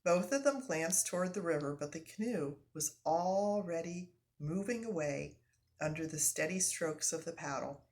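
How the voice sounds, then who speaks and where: A woman reading a children's story aloud live, expressive and explanatory, with casual asides to listeners. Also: fairly low pitch, normal speed.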